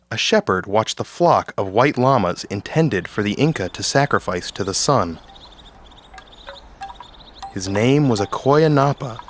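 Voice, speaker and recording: A man's voice: on the low side, dry recording. A man hosting and narrating a scripted storybook tale. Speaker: host and narrator.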